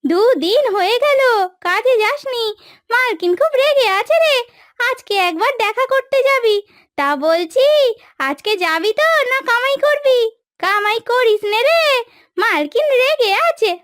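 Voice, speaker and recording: Woman putting on a shrill, insistent village maid. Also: expressive, measured pace, a bit bright, no echo, heavily acted. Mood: urgent warning. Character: chatty, nagging fellow housemaid woman, urgent warning friend. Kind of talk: cartoon moral story acting. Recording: dry studio voice over background music.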